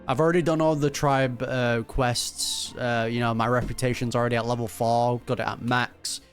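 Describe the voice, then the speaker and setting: A man's voice: medium pitch, flat delivery, moderate pace. A man giving casual, slangy reaction commentary as he plays through a video game. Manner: slangy, informal. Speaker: gaming YouTuber. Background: faint game music.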